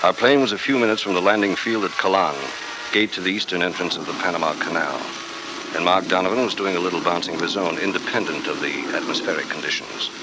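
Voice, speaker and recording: An actor playing a cool, dry-witted investigator, deadpan and unhurried, in a 1940s radio drama. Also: male voice, low-pitched, natural voice. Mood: wry narration. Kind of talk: 1940s radio acting, first-person narration. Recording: very noisy old radio recording.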